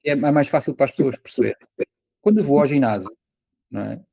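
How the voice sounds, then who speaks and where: A man's voice: mid-range voice, fast, gravelly, warm tone, husky, no echo. A man with a European Portuguese accent talking casually as a podcast guest, explaining things with everyday examples and frequent tag questions.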